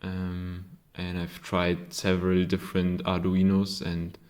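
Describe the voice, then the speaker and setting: German man, non-native English, unhurried maker explaining his board, stop-start delivery. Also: sits low, completely flat delivery, a little mellow. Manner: hesitant, many ums and self-corrections. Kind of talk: casual show-and-tell.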